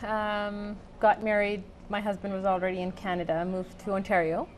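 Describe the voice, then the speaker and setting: A woman's voice: medium pitch, little pitch movement, normal speed, slight background noise. Cheerful woman from Pakistan. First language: Urdu. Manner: cheerful, laughs often, many ums. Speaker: guest, chapter vice-president. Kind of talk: TV interview answers. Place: TV studio.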